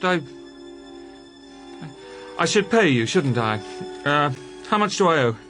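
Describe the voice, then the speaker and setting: A man's voice: fairly high pitch, sing-song, slow pace, a bit bright, faint music behind, heavily acted. An actor playing a nervous, evasive young Englishman in a radio drama, speaking in a hesitant, flustered way. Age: young adult. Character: nervous, evasive upper-class young man. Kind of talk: radio detective drama acting.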